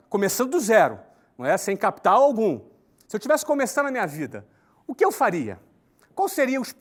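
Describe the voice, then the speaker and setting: A man in his forties giving a conversational talk, often checking in with tag questions and listing points in an emphatic rhythm. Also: high voice. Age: 40s. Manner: emphatic, lists steps in a lively rhythm. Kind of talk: recorded talk to an online audience.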